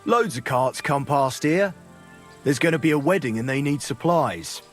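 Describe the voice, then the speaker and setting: An actor playing a helpful common castle guard in a video game, with a folksy, cautioning manner. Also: male voice, medium pitch, animated, normal speed, soft background music, natural voice.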